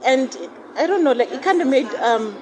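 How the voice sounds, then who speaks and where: A woman's voice: sits high, expressive, normal speed. A woman speaking frankly in a casual podcast chat, with many "you know" fillers. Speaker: podcast guest. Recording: noisy background.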